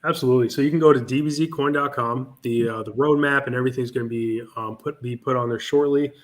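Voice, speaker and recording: A man's voice: medium pitch, steady tone, fast. Male guest, confident promo talk, video call. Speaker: project team guest. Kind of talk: casual interview over video call.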